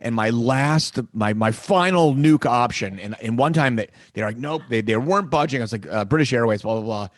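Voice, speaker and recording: A man's voice: mid-range voice, lively intonation, brisk, hardly any pauses, dry recording. A man hosting a music podcast, telling stories and asking questions with chuckles and frequent restarts. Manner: storytelling, chuckling, restarts often. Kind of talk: casual interview chat. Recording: dry close mic.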